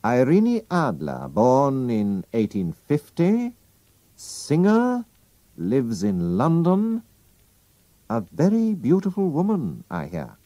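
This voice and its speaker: A man voicing a calm, clever male detective who talks briskly as he reasons. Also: mid-range voice, dry recording, pitched above the performer's own voice. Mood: cool, knowing. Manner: brisk, deducing, lively.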